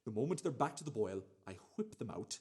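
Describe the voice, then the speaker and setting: A man acting a defensive, fussy home cook in a radio play monologue, emphatic and repetitive, with a husky voice. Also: mid-range voice, big pitch swings, fairly quick, mellow, exaggerated voice. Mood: fussy pride. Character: defensive, fussy man boasting about his cooking.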